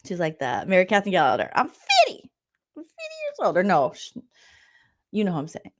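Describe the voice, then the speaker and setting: A woman's voice: fairly low pitch, huge pitch swings, a little fast. Sarcastic lady comic recapping reality tv, keeps jumping into silly voices. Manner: mocking, jumps into exaggerated character voices. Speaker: comedian recapping a reality show. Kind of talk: comedic recap with quoted impressions.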